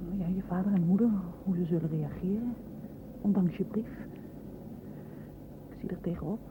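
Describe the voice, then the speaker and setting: Radio drama girlfriend, female actor: slightly low, uneasy, hesitant, questioning, narrow noisy recording. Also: moderate pace, natural voice. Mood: worried. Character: uneasy, doubting girlfriend of a deserter. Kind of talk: radio play acting. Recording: muffled old radio play, noisy background.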